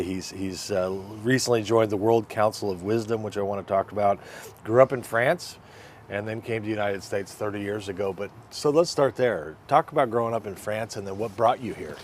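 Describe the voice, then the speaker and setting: A man's voice: fairly low pitch, slight background noise. A man hosting a casual interview talk show, upbeat and affirming, with lots of fillers.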